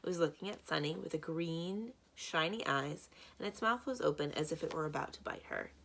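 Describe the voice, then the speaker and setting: A woman reading a children's book aloud as a library storyteller, with expressive narration. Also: low voice, fairly quick, creaky, a little hiss or hum, low-quality recording.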